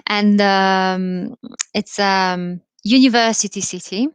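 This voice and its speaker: A woman's voice: medium pitch, steady tone, slow, smooth, dry recording. A woman in her thirties from Poland with a Polish accent, giving an informal live talk, thoughtful and full of ums and restarts. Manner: thoughtful, many fillers and restarts. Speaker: career speaker.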